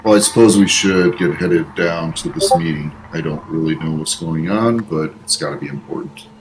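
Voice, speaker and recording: A man's voice: extremely low pitch, normal speed, background noise. A man playing a tabletop role-playing game, speaking in character in a casual, understated way.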